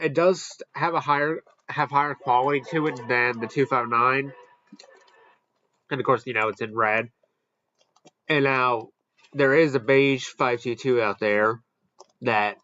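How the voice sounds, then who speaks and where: A man's voice: medium pitch, bright, forceful tone, lo-fi sound. A man giving casual, off-the-cuff commentary as he hosts a product ranking. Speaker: host ranking weather radios.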